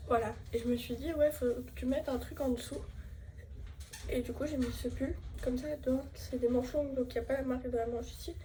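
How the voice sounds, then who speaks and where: A woman's voice: fairly high pitch, dark tone. A young woman with a French accent chatting casually in a travel vlog, rambling with fillers and stuffed up with a cold. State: has a head cold. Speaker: vlogger. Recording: noisy ambience, distant from mic.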